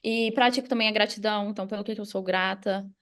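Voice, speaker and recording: A woman's voice: medium pitch, fairly even intonation, fast, a bit bright. A Brazilian woman speaking as a podcast guest and coach, explaining things in a casual conversational way. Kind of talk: casual explanatory interview talk.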